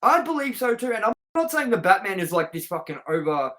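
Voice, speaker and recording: Emphatic man with a slightly high voice. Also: big pitch swings, brisk, a bit bright. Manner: emphatic, opinionated. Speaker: co-host of a film review show. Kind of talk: casual, sweary film review chat.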